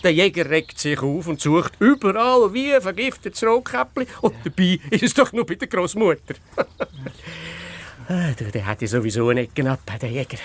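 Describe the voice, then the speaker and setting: High-pitched, brash Swiss fox detective. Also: male voice, big pitch swings. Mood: dismissive. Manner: hurried, flustered, dismissive, theatrical. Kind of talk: children's radio play acting.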